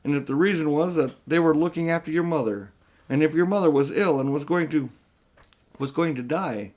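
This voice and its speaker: A man's voice: medium pitch, expressive, moderate pace, warm tone, natural voice. A man reading aloud and voicing a young boy who is miserable and worked up, in a children's story. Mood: distressed.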